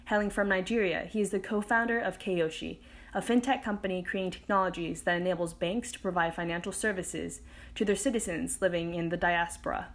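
A woman's voice: fairly low pitch, fairly quick. A woman in her twenties, host of a podcast, reading a scripted intro and interviewing a guest in an upbeat way. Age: 20s.

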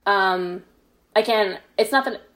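A woman's voice: medium pitch, slow, a bit bright. A woman guest on a podcast, chatting casually in a self-deprecating way and often quoting exchanges as she tells stories. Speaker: podcast guest, fan fiction author. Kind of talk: casual conversational storytelling.

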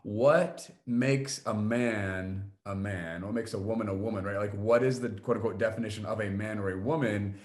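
A man's voice: on the low side, fairly even intonation, moderate pace, hardly any pauses. A man hosting an interview show, introducing his guest in an earnest, appreciative way. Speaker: podcast host.

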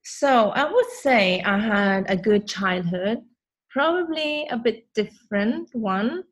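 A woman's voice: a little high, animated, takes it slowly. A woman from Sweden whose first language is Hakka, a lash artist speaking as a podcast guest, talking freely with many fillers and non-native grammar. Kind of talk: podcast interview.

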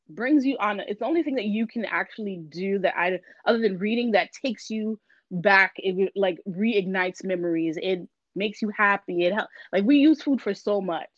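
A woman from Miami, Florida speaks as a podcast guest, relaxed and easygoing with plenty of ums. Her voice comes through a dull, muffled line, and the sound is dry, with no room around it. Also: mid-range voice, no room sound. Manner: relaxed, frequent ums and laughs. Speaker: guest, vegan business owner. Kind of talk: casual interview chat.

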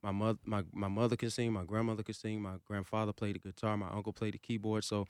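Male guest, rapid storyteller, low resonant voice, dry sound. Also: little pitch movement, a little mellow, clear, resonant tone, no room sound. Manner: fast storytelling, many "you know" fillers. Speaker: interview guest, singer. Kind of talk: casual interview. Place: podcast studio.

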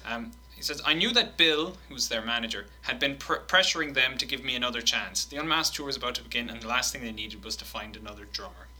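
Man, bright forceful voice, casual podcast banter, animated quote reading. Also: mid-range voice, fast talker, few pauses, breathy edge, rough recording. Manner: animated, swearing, laughing while reading quotes.

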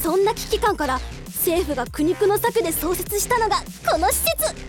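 Female actor as breezy official hostess; very high, lively, theatrically polite announcing for radio drama. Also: moderate pace, over music. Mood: grand narration. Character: breezy, officious government discussion-room moderator woman. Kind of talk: radio drama narration and acting.

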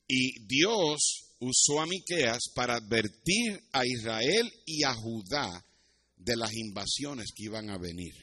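A man's voice: medium pitch, expressive, takes it slowly, sharp tone, breathy edge. A man with a Puerto Rican accent preaching as a pastor, teaching scripture and telling personal stories in a didactic way, with occasional asides in English. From Puerto Rico. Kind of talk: sermon with Bible teaching and personal anecdotes.

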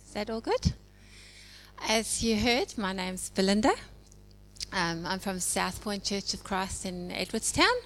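A South African woman in her forties giving an informal church message, talking in a conversational way with many pauses and ums. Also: mid-range voice, a bit bright. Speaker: church speaker. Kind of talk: informal sermon. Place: church hall. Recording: hall reverb with faint hum.

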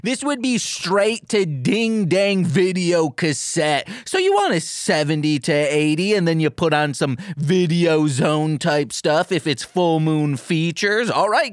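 A man with a fairly high voice and very animated intonation hosts a casual podcast. He rambles jokily through banter and plugs with playful exclamations. The sound is dry and close. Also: no room sound. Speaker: podcast host.

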